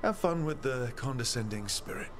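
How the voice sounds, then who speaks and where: An actor playing a calm, caring adventurer who leads his party in a video game. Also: mid-range voice, normal speed, a little hiss or hum, close to an everyday voice. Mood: wry. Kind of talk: video game character dialogue.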